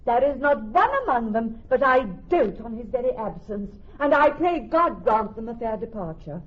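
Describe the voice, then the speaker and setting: Muffled radio drama. witty heiress, theatrical, breathy-husky voice with animated swings. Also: female voice, fairly high pitch, normal speed. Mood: wry. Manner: articulate, theatrical, wry. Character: witty young heiress, wry and impatient of suitors. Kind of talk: classical Shakespearean radio drama acting. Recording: dull, muffled radio recording.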